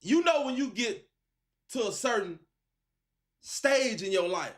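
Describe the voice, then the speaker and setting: Male live-stream host, high register, casual and encouraging, heavy slang. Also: animated, a little slow. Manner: encouraging, slangy, repeats phrases for emphasis. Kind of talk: casual chat with a caller.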